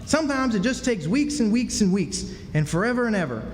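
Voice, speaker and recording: A very high, animated American boy played by a man, stubborn and full of grand schemes. He insists in folksy dialect on a radio play stage, in a hall with long reverb. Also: fairly quick, slight background noise. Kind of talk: live radio drama acting. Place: historic club hall, live performance. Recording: echoey hall stage, faint music.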